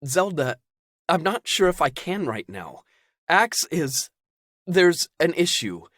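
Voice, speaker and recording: A man's voice: on the high side, very animated, slow, fairly bright tone, no room sound, clean studio sound, voice pushed up, full character voice. A man voicing a distraught young man, raw and pleading by turns. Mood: shaken.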